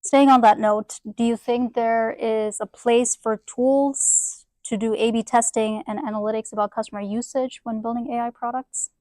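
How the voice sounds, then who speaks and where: A woman moderating a panel discussion, posing questions in an upbeat, brisk manner. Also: fairly high pitch, steady tone, warm tone, dry recording.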